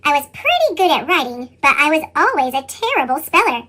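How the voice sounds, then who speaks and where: A woman reading a children's picture book as an earnest young boy, with a squeaky pitched-up chipmunk effect. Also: brisk. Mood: earnest, chirpy. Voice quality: squeaky, sped-up chipmunk sound. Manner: animated children's read-aloud delivery. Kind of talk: scripted picture-book narration.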